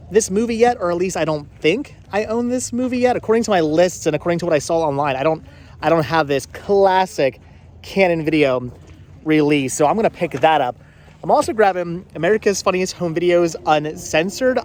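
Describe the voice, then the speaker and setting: A middle-aged man hosting a casual thrifting vlog, chatting haltingly and often repeating his words. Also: a little high, a little hiss or hum. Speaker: vlog host. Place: out and about at flea market.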